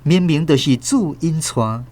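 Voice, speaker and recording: A man's voice: fairly high pitch, lively intonation, unhurried, darkish tone. A man with a Taiwanese accent narrating a radio drama as a scripted, dramatic storyteller.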